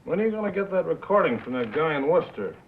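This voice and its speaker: Brash boozy executive, 1950s film drama, lively swooping intonation, slangy patter, noisy vintage soundtrack. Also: male voice, fairly high pitch, quick pace, creaky, phone-quality sound. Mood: cocky, scheming. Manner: slangy, glib, sports-metaphor patter. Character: brash, boozy radio executive, back-slapping and bitter.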